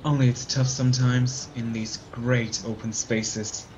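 A man's voice: medium pitch, little pitch movement, measured pace, dull audio, noisy background, lightly acted. Man acting a slangy, folksy hitchhiker. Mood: easygoing, chatty. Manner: slangy, colloquial. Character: folksy, plain-spoken young male hitchhiker, easily spooked. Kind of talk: old-fashioned radio play acting.

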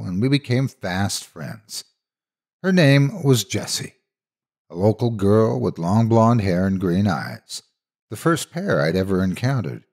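A man with a fairly low, husky voice narrates a horror story. His delivery is measured and dramatic, with lively rises and falls in pitch as the scripted tale unfolds.

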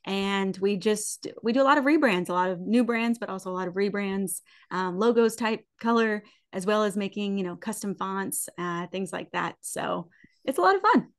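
A woman in her thirties with an American accent and an average-pitched voice. She chats casually as a guest, playful and emphatic, with plenty of slang. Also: animated, moderate pace. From United States. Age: 30s. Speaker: podcast guest, design studio co-founder. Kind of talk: casual interview chat. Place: indoors, curtained room, on a video call. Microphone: desk microphone.

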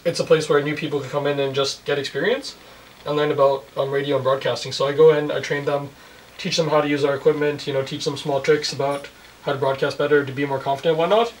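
Male guest, 20s, quick pace, highish pitch, casual, slightly off-mic. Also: flat delivery, slight background noise. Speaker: college radio technical director, guest. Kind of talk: casual interview chat. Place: furnished indoor music room studio. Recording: recorded at a distance from mic.

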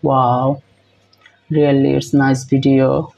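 A young man hosting a reaction video in halting, repetitive English with many fillers, filmed close on a phone in a small room. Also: mid-range voice, monotone, vocal fry, darkish tone, highs cut off. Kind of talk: casual reaction commentary.

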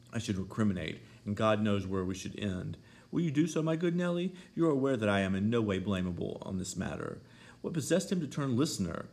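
A man with a deep, gravelly voice voicing a proud, fiery young lady of the manor in an audiobook, scornful and imperious. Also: a little mellow. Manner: scornful, imperious, rising to shrill pleading. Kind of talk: audiobook character voice.

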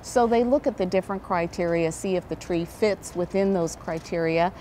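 A woman's voice: lowish voice, moderate pace, dark tone, a little hiss or hum. A middle-aged woman with an American accent, answering questions conversationally as an event director in a TV interview, on a lapel mic. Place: large indoor event hall.

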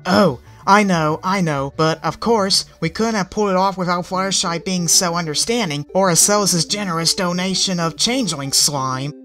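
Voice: mid-range voice, normal speed, few pauses, fairly bright tone, faint music behind, voice pushed up, lightly acted. A reader voicing a smug young female dragon with a lazy drawl.

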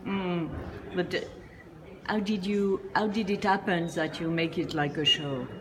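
A woman off camera interviewing a guest, asking halting questions that she often rephrases, and laughing along with his answers. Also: fairly low pitch, steady tone. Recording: off camera, long echo, clear background noise.

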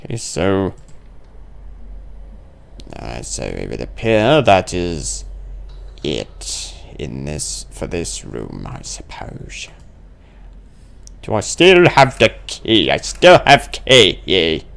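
Guy doing a lets play, slow talker w some vocal fry, grumbles and thinks out loud, playful. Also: low voice. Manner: animated, grumbling, playfully exclaiming. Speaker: gamer playing a horror game on his channel. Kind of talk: casual let's play commentary, thinking aloud.

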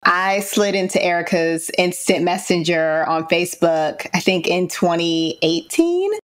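A woman speaking as an interview guest, telling stories casually and acting out her own quoted remarks. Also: on the low side. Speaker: theater director and interview guest. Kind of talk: casual storytelling interview talk.

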